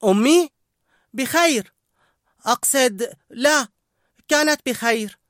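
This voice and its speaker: A woman's voice: high voice, expressive, slow, a bit bright, dry recording, clean studio sound, pitched above the performer's own voice, exaggerated voice. A woman doing a flustered, stammering young man. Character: nervous skinny young man, awkward.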